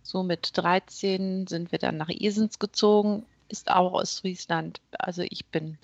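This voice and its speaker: A middle-aged woman from northern Germany, a judge and court president, answering interview questions in a measured way with frequent hesitations. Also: on the low side, fairly even intonation, gravelly, no room sound, dull audio. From East Frisia, Germany. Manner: measured, many hesitation sounds.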